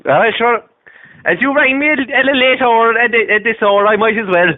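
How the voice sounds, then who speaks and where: A man's voice: very high-pitched, normal speed, no room sound. An Irish man chatting casually on the telephone, hesitant and noncommittal in his answers. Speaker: voter receiving a call. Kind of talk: casual phone chat. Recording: tinny lo-fi telephone line.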